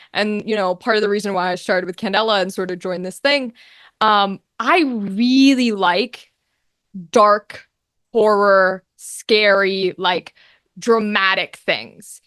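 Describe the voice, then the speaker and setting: A woman speaking as a guest in a casual interview, talking as an actor and game master, animated and given to acting out imagined quotes. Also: medium pitch, slow, clear, resonant tone, no room sound, studio quality.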